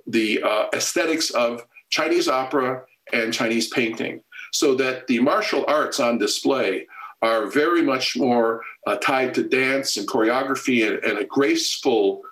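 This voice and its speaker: A man answering interview questions at length in a measured, lecture-like way, with frequent pauses and fillers. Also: medium pitch, a bit bright, roomy sound, low-quality recording. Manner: measured and expository, many fillers and restarts. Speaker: film scholar guest.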